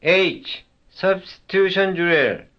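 Slow, lively-pitched man calling drill cues. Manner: clipped single-word cues, unhurried. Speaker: drill instructor giving cues. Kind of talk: recorded language-lesson cue prompts. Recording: dull lo-fi old lesson tape.

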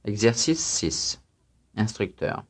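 A man reading scripted student answers and exercise announcements in a language drill, careful and even. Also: on the low side, slow.

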